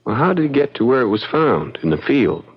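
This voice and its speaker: An actor playing a calm young frontier lawyer, measured, folksy and probing, in an old-time radio drama. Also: male voice, medium pitch, breathy edge. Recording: dull lo-fi radio drama recording.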